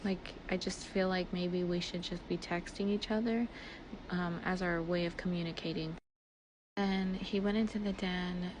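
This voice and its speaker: A woman telling a personal story casually and conversationally, peppered with filler words and retold dialogue. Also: fairly low pitch. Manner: filler-heavy, conversational, quotes dialogue as she retells. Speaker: speaker recounting personal events. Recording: noisy ambient background, amateur voice clip.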